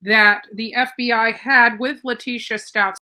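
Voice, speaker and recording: A woman's voice: a little high, fairly even intonation, measured pace, sharp tone, a little hiss or hum. A woman commenting on a true crime case in a casual, chatty way, with fillers and restarts.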